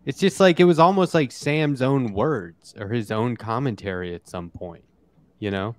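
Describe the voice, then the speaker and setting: A man hosting a casual political podcast, talking in a halting, conversational way as he interviews his guests. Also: mid-range voice, no room sound.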